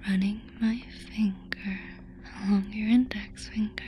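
Casting: woman to play playful, doting alien girl, soft ASMR whisper, medium pitch, faint ambient noise behind. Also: steady tone, measured pace, lightly acted. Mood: charmed. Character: playful, affectionate alien girl charmed by humans.

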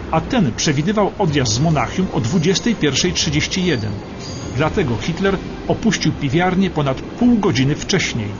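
A man narrating a scripted history documentary for radio in a precise, emphatic, dramatic storytelling manner. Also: on the high side. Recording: slightly dull radio broadcast, music behind. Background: background music.

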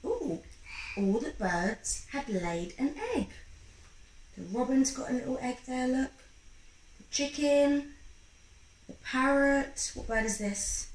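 A woman in her thirties reading a picture book to a young child in an expressive, encouraging way, pausing to ask questions. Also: high-pitched. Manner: expressive, encouraging, acting out sound effects. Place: small room at home, indoors. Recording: roomy home recording with faint background noise.

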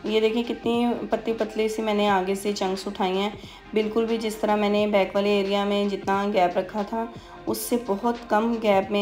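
Female beautician for tutorial voice-over: explanatory, level delivery with halting pauses, faint music underneath. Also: medium pitch, a little mellow. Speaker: beautician giving a hair tutorial.

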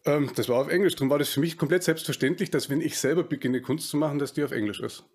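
A man in his thirties with a Bavarian accent, hosting a casual podcast interview over a video call on a headset, talking slowly with many fillers and restarts. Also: on the high side, a little mellow. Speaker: podcast host. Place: home room, video call.

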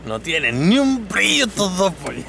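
A man's voice: high voice, sing-song, moderate pace, gravelly, over a noisy crowd. A man with a Chilean accent chatting casually and joking with friends at night beside a pickup truck, greeting his subscribers. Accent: Chilean Spanish. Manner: joking, laughing, addressing subscribers. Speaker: hunting vlogger. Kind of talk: casual chat.

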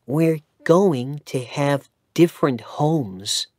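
A man voicing a sweet, loving young boy in a children's storybook reading, in a high, wondering, childlike voice. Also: drawn-out words, darkish tone, no echo, clean studio sound. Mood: wistful.

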